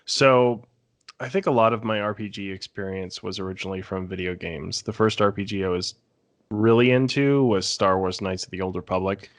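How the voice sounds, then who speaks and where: A man's voice: sits low, moderate pace. A man co-hosting a podcast, talking casually in a panel discussion. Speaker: podcast co-host.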